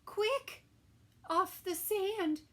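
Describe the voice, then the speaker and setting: A woman's voice: very high voice, extremely slow, a bit bright, pitched above the performer's own voice, exaggerated voice. A woman voicing a tiny, brave, determined female snail in a storybook, exclaiming urgently.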